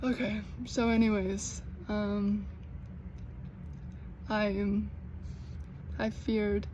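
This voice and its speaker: A young American woman who stutters, with repeated sounds and blocks, talking slowly and earnestly in a personal vlog. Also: medium pitch, level tone, slight background noise. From United States. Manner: slow, earnest, gently encouraging. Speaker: vlogger.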